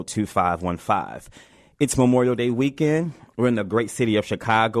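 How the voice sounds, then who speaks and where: Low-voiced man hosting radio, close and dry. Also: dry recording. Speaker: radio show host. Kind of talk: live community radio interview.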